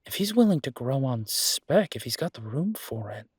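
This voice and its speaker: Casting: male actor as affectionate, laid-back restaurant owner for boyfriend roleplay audio; mid-pitched, casual, chatty delivery. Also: very animated, normal speed, darkish tone, breathy edge, dry recording, studio quality. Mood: musing, persuasive. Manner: casual, chatty, thinking aloud. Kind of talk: boyfriend roleplay audio drama, one-sided conversation.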